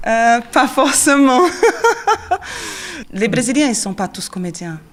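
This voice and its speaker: A woman in her thirties from Brazil, a drama teacher and actress, answering interview questions in French with a Brazilian Portuguese accent, often pausing with fillers and searching for words. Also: high-pitched, sing-song. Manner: searching non-native French, frequent fillers and quick laughs.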